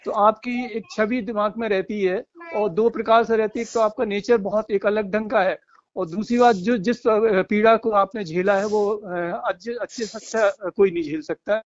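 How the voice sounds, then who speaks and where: Apologetic, high-pitched middle-aged North Indian man. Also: fairly even intonation, moderate pace. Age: middle-aged or older. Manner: apologetic, hesitant, repetitive. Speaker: father. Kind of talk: emotional phone conversation. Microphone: phone call. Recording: dull lo-fi phone call recording.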